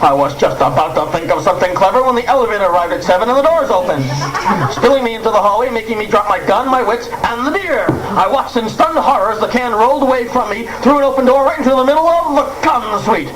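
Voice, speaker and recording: A man's voice: extremely high pitch, lively intonation, fast, fairly bright tone. A man playing a wisecracking secret agent who narrates a hardboiled parody in theatrical radio play style, on an old cassette. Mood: excited narration. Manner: theatrical, wry. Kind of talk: comedic first-person radio play narration. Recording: noisy old cassette tape recording.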